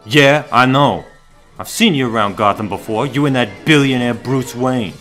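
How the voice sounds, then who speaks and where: A man's voice: mid-range voice, normal speed, fairly bright tone, faint music behind, close to an everyday voice. A man acting a hostile street thug in a fan audio drama, sneering and menacing. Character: hostile male street thug picking a fight.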